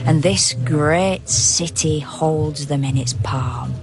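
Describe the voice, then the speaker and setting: An actor narrating a poetic radio drama as a solemn, all-seeing storyteller, speaking in measured, rhythmic verse. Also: medium pitch, vocal fry, music in the background. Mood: hushed, lyrical. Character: solemn omniscient storyteller of a city at night.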